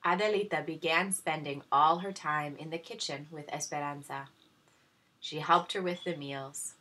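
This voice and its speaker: A woman teacher reading a children's storybook aloud in an expressive narrating style. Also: low voice, moderate pace, poor audio.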